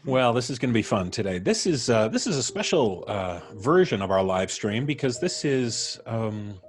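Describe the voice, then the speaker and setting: A man's voice: mid-range voice, big pitch swings, normal speed. A man hosting a live stream in a casual, chatty, jokey way. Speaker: podcast host.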